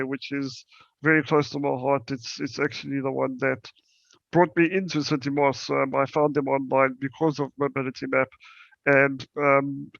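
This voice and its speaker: Halting South African man, gravelly voice. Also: mid-range voice, fairly bright tone, no echo, muffled recording. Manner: halting, many fillers and restarts. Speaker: startup presenter. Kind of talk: conference presentation.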